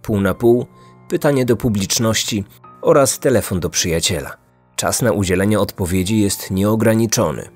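A man's voice: lowish voice, moderate pace, a little mellow, slightly rough. A man from Poland in his thirties narrating a scripted story as a podcast host. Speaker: true crime podcast host. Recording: music bed behind the voice. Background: background music.

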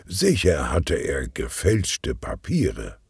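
Man as deep, calm older detective inspector. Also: takes it slowly, husky, no echo, studio quality, full character voice. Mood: calm, probing.

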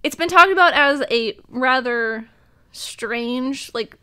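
Woman, fairly high voice, chatty podcast host, laughs a lot, slightly worn voice. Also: slow, bright, forceful tone, clear, resonant tone. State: slightly losing her voice. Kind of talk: casual chat.

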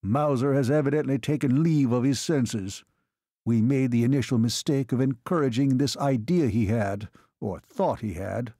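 Older baron, read by a male narrator: tired authority, mellow dark tone, audiobook. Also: medium pitch, normal speed, no echo, clean studio sound, natural voice. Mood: weary. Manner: weary, authoritative. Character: weary, commanding older male baron, a father.

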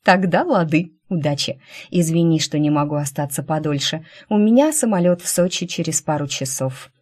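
A woman's voice: low-pitched, normal speed, darkish tone. A woman voicing a bubbly, persuasive young twin sister, breezy and emotional, in an audiobook novel. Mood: apologetic.

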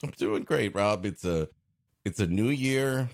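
A man's voice: on the low side, lively intonation, measured pace. A man co-hosting a comedy podcast in casual banter, wry and playfully teasing. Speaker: podcast co-host.

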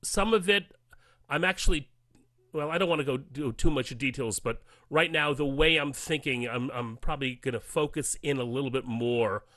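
A man's voice: mid-range voice, normal speed, bright, forceful tone, no room sound. A man speaking as an interview guest, explaining his process casually, step by step. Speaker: podcast guest, audio drama adapter.

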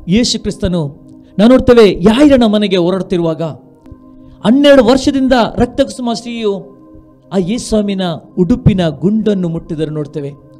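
A middle-aged male pastor from India delivers a fervent sermon and prayer. His voice sits high for a man but has a soft, mellow tone, and he exhorts in repeated, building phrases. Music plays underneath as he speaks into a handheld mic. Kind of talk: sermon and prayer in a church service. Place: dark studio stage with coloured lighting. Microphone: handheld microphone held at chest to mouth.

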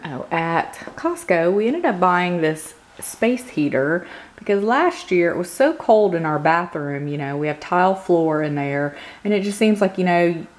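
Woman, deep for her sex, mildly hoarse, casual rambling vlogger at home. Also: darkish tone. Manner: chatty, rambling, frequent ums. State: slightly hoarse from a cold. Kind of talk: casual grocery haul chat. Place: home kitchen, indoors.

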